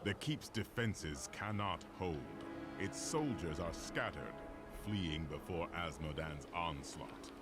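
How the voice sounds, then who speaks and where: Deep, solemn noble warrior. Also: male voice. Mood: grave warning. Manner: grave, urgent, formal. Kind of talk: video game drama acting. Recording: loud game music behind. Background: loud dramatic music.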